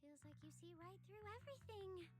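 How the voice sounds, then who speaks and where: A woman's voice: very high voice, expressive, fairly quick, soft background music. A woman playing a breezy, teasing, casually opportunistic young customer service worker in a video game. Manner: playful, teasing, theatrical.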